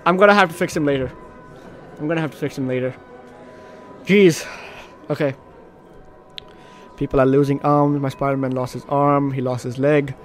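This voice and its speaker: A man doing let's play commentary, reading game text aloud in an animated, slightly stumbling way. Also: medium pitch, normal speed, long pauses. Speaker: video game streamer. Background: game music.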